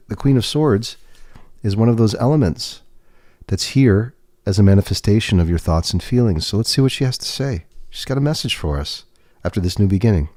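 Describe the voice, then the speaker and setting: A man livestreaming a tarot reading, talking in a gentle, reassuring way with pauses and restarts. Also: lowish voice, no room sound, studio quality. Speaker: tarot reader and podcast host. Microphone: webcam setup.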